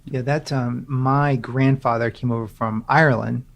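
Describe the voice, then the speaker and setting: A man hosting a conversational podcast, his voice carrying a noticeable gravelly fry. He chats loosely and circles back over his own phrases as he frames each question. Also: mid-range voice, steady tone, moderate pace. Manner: chatty, restarts phrases often. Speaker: podcast host. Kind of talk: conversational interview.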